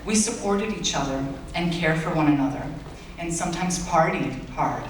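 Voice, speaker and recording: A man's voice: a little high, animated, normal speed, heavy background noise. A young man in his late teens, a native Bulgarian speaker, giving an earnest, self-deprecating graduation speech as valedictorian in a large school auditorium. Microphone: far-off audience camera. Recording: echoey hall recorded from far off.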